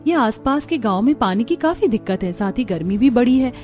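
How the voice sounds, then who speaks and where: A woman's voice: fairly high pitch, expressive, brisk, dark tone, soft background music, natural voice. A woman playing a practical young village daughter-in-law in a scripted cartoon story. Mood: reasoning.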